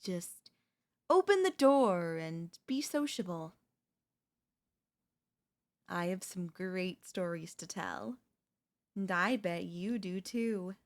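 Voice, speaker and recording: A woman's voice: lowish voice, expressive, slow, long pauses. A woman voicing a chatty, falsely friendly female zombie in a roleplay audio, coaxing the listener in a wheedling, conversational way with hesitant slips. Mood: knowing, persuasive.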